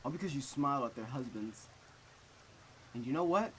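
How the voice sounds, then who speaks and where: A man's voice: medium pitch, animated, normal speed, fairly bright tone, a little hiss or hum, natural voice, rough recording. A man voicing a harsh, possessive mother in a visual novel, speaking to a daughter. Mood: scornful, accusing. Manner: scornful insults turning to smothering devotion.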